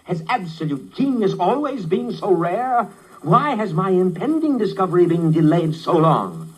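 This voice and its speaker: An actor playing a vain, self-pitying bohemian painter with pompous, brooding delivery in a 1950s radio drama. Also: male voice, high-pitched, very animated, moderate pace, exaggerated voice, rough recording. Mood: self-important brooding. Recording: 1950s radio broadcast, slightly husky sound.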